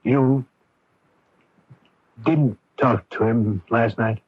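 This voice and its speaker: An actor playing a gentle, hesitant, devoted middle-aged father in a 1980s radio drama, with a husky voice. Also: medium pitch, drawn-out words, no echo. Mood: puzzled, evasive. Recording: dull, dry AM radio recording.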